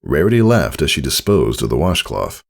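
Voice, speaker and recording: A man's voice: rumbling low, very animated, normal speed, vocal fry. A man reading a story aloud as narrator in a scripted storytelling style. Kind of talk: scripted fan fiction narration.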